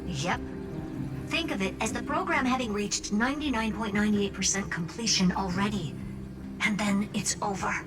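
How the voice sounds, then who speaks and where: A woman's voice: medium pitch, normal speed, close to an everyday voice. An actress playing a confident, teasing woman genius in a video game, speaking with wry self-assurance. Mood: grave, emphatic. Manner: wry, self-assured, talking down playfully to the listener. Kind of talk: video game dialogue voice acting. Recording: loud music bed under voice. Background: background music.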